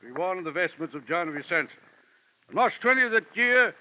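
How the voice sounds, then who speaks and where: An actor playing a pompous, wordy, portly gentleman crook in an old-time radio detective drama, with florid, courteous, faintly menacing speech. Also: high voice, sing-song, brisk. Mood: grandiose, ominous. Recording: dull vintage radio broadcast recording.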